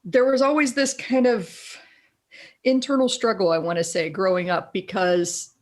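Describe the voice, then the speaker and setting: Raspy-voiced woman telling stories. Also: moderate pace. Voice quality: deeper raspy voice. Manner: animated storyteller, laughs often. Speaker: guest, copywriter. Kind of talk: casual interview chat.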